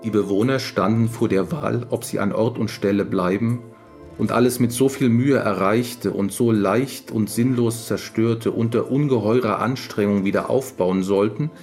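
A man reading a literary passage in an even narrative delivery. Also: lowish voice, moderate pace, soft background music.

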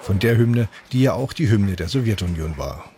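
A man's voice: on the low side, lively intonation, normal speed, heavy background noise. A man narrating a scripted radio report as the reporter, in composed full sentences.

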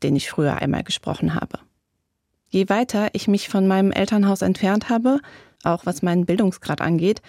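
Woman reading a radio essay, kinda gravelly, slow thoughtful delivery, bone dry studio. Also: lowish voice, a little mellow, dry recording. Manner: measured, reflective, lightly ironic. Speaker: author reading a radio commentary.

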